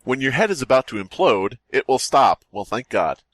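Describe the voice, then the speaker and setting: A man's voice: medium pitch, very animated, moderate pace, fairly bright tone, no echo. A man hosting a podcast, mixing casual comedic chat with reading horror stories aloud, joking, swearing and stumbling over words. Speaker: podcast host.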